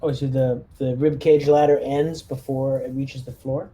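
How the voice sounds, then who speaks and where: A man with a mellow, relaxed voice at a middle pitch plays a tabletop game. He casually narrates his character's moves, with some background noise in the recording. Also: moderate pace. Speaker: tabletop roleplaying game player.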